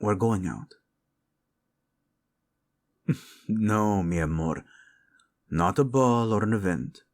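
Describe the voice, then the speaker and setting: A man playing a devoted, protective prince in a romantic audio roleplay, speaking intimately with formal phrasing, Spanish endearments and a husky voice. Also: fairly low pitch, animated, slow pace, darkish tone. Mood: playful, warm. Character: devoted, protective young prince, tender lover.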